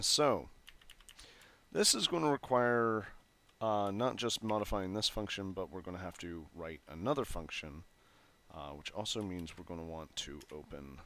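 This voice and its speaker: Male tutorial host, low-ish and unhurried, phlegmy throat, casual coding walkthrough. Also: gravelly, fairly bright tone. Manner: thinks aloud while typing, frequent fillers and self-corrections. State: phlegmy, clearing his throat. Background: keyboard typing and clicks.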